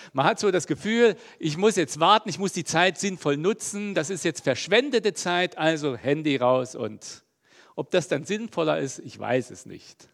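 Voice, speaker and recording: A middle-aged male preacher with a gravelly, fry-edged voice that sits a little high. He talks in a loose, chatty way with frequent fillers, more like a conversation than a formal sermon. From East Germany. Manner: relaxed, chatty, many fillers.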